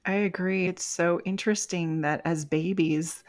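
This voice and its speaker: A woman's voice: lowish voice, fairly even intonation, moderate pace. An older woman, a life coach, telling a personal story on a podcast in an earnest, reflective way. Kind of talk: podcast interview.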